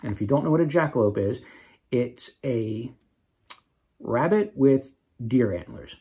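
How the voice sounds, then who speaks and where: Animated man reading a children's story, slightly husky. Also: mid-range voice, a little slow. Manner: expressive, dramatic emphasis. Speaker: children's author reading aloud. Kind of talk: animated storybook read-aloud with casual asides.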